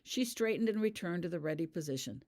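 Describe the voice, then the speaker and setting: Female story narrator, low register, lively intonation, scripted audiobook read. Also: fast. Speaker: author reading their own fan fiction.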